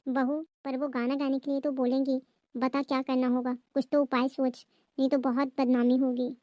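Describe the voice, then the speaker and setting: Lady doing a fussy indian mother-in-law, high voice, fretful n scolding, dry close sound, comedy skit. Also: level tone, normal speed, dry recording, muffled recording, natural voice. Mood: worried. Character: anxious Indian mother-in-law fretting over family reputation.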